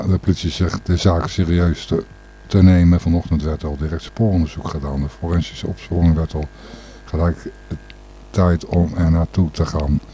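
A man's voice: deep voice, dark tone, slight background noise. A man hosting an informal radio show, commenting on the news in a hesitant, rambling, opinionated way. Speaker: radio and podcast host.

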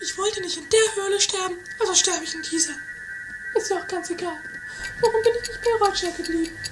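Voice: extremely high pitch, a little fast, music in the background. A performer voicing a self-pitying, melodramatic wanderer lamenting in a campy improvised horror-comedy radio play. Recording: homemade tape, distant-sounding voice.

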